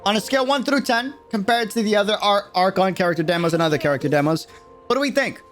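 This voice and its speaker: A man with a slightly high-pitched voice talks to his viewers as a streamer. He is casual and slangy, leaning hard on repeated words to make his point. Faint music plays behind him. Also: fast, a bit bright. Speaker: streamer reacting to a game video. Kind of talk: livestream commentary, casual chat with viewers.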